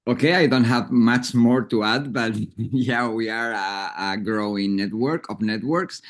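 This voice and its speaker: A man's voice: mid-range voice, unhurried. A man briefly introducing his network on an online webinar, upbeat and rambling. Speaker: network representative.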